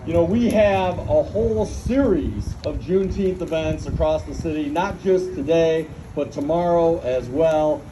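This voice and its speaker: A man giving an earnest, measured welcome speech as an official at a public civic event. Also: fairly high pitch. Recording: noisy event recording.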